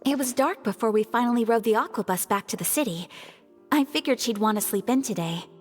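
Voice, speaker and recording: Casting: actress for a polite, softly noble young game heroine, slightly high voice, light music underneath. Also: female voice, brisk, lightly acted. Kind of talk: video game voice acting.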